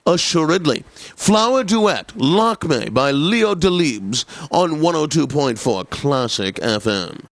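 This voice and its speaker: An actor playing a pompous, snobbish classical radio DJ for a satirical video game station, with formal, theatrical enunciation. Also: male voice, on the high side, very animated, slow pace, no room sound, full character voice. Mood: smug, genteel.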